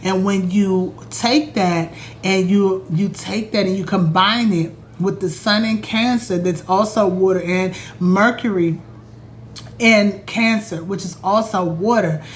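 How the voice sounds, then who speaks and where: A woman talking casually to her viewers about astrology from her home, chatty and animated, calling them y'all. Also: mid-range voice, measured pace, a little hiss or hum. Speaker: astrologer and vlog host. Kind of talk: casual spiritual vlog talk.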